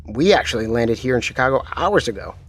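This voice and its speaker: A man playing a calm, commanding military chief. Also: mid-range voice, lightly acted. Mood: composed. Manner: calm, measured, matter-of-fact. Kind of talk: audio drama acting.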